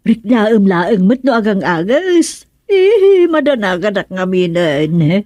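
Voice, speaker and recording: A woman's voice: medium pitch, big pitch swings, slow pace, warm tone, no room sound, muffled recording. A woman with an Ilocano accent playing a fretful older aunt in a radio drama, worried and plaintive, sighing as she talks. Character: fretful older aunt anxious over her ailing husband.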